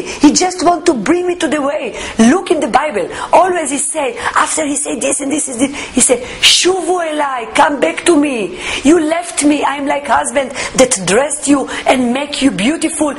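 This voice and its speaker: A woman with an Israeli accent, a native Hebrew speaker, giving an earnest personal faith testimony in halting English. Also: high voice, a little hiss or hum. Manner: earnest, searching for words in English, emphatic.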